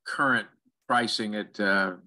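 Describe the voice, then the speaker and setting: A man with a fairly low voice and a sharp, bright edge chats casually on a conference call. He delivers his remarks in short broken pieces, pausing between them. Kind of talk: casual chat. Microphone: online conference call.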